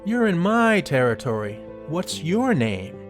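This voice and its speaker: A man playing a cocky, gruff, streetwise field mouse in an audio drama, teasing and brusque with a drawl. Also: on the high side, very animated, a little slow, over music. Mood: cocky, mocking.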